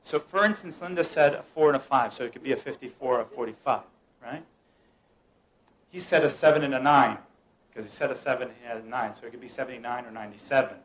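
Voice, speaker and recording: A man's voice: mid-range voice, fast talker, fairly bright tone, a little hiss or hum, poor audio. A young man from the United States performing a playful mind-reading act, teasing and directing the people around him. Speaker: mentalist performer. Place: room at a home gathering.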